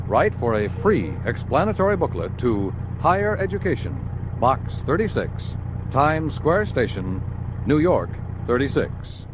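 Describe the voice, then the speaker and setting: An American man announcing formally and earnestly from a script in 1950s radio style, with measured enunciation. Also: medium pitch. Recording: old radio broadcast under vehicle noise.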